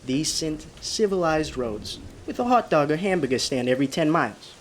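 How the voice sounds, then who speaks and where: A man acting a haunted man in his thirties who recounts his road trip in a radio drama, urgent and halting. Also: a little high, big pitch swings, a little hiss or hum. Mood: breezy, reassuring.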